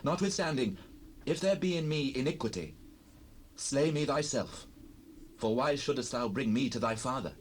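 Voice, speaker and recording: Young male fugitive played by a male actor, biblical drama: earnest, anxious, very expressive intonation, slight tape noise. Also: mid-range voice, moderate pace, long pauses, lightly acted. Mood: earnest pleading. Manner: earnest, solemn, archaic biblical speech. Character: young David, anxious fugitive pleading with his friend. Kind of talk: dramatized scripture, audio drama acting. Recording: noisy cassette rip, distant ambience.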